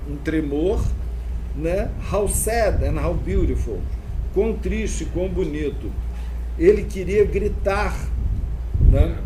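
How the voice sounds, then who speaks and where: Brazilian man lecturing, slightly high voice. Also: background noise. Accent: Brazilian Portuguese. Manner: thinking aloud, frequent "né?" checks with the audience. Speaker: psychoanalysis seminar teacher. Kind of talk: seminar lecture, reading aloud and translating passages.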